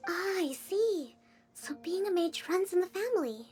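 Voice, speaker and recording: A woman voicing a small, bossy, encouraging creature companion in an anime game, squeaky and emphatic. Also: lively intonation, normal speed, darkish tone. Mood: chipper. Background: game music.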